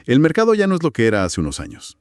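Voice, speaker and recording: Man, low voice, highly animated melody, emphatic explainer style, conversational, very dry recording. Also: fast, clean tone, no room sound. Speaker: co-host of an audio gear review discussion.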